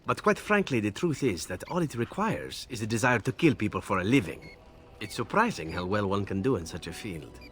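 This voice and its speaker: An actor playing a suave, flippant assassin in a video game, talking in a smooth, wry way. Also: male voice, fairly low pitch, very animated, a little fast, fairly bright tone. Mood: wry, amused. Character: suave roguish male assassin, flippant and sly. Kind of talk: video game character dialogue. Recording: music behind the voice.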